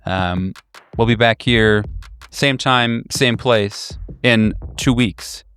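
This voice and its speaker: A man's voice: mid-range voice, slow, a bit bright, a little hiss or hum. A man hosting a tabletop roleplaying podcast, speaking casually to the audience. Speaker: podcast host and game master. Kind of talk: casual sign-off talk.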